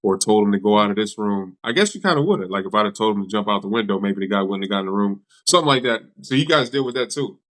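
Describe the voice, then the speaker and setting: A man with an American accent hosts an interview in a casual, chatty way. His voice sits a little low, and he asks loose, rambling questions with informal interjections. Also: expressive, quick pace, few pauses, a bit bright. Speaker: podcast host.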